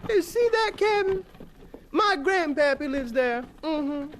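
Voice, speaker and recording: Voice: high-pitched, expressive, takes it slowly, noisy background. An actor playing a cheeky, self-important young wagon driver with folksy rural speech in a radio drama. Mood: offhand, amused.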